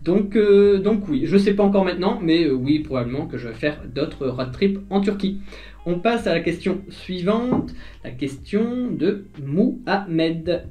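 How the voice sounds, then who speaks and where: A man's voice: high voice, lively intonation, a little slow, mellow, soft background music. A man from northern France, a vlogger chatting casually as he answers viewer questions, with many hesitations and fillers. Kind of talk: casual chat.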